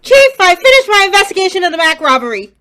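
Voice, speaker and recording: A woman playing an earnest police inspector, brisk and emphatic, in an old-time radio crime drama. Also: very high-pitched, big pitch swings, bright, forceful tone, exaggerated voice. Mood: earnest, businesslike. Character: earnest police inspector and pleading robbery victim.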